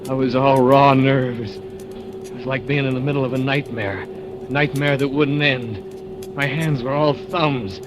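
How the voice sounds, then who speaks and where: A man's voice: mid-range voice, steady tone, noisy background, lightly acted. Guy doing a jumpy young factory guy telling his story, edgy and stammery, old radio play. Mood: tense. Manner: nervous first-person narration, tense and halting. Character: jittery young male factory worker, secretive. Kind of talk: 1940s radio acting.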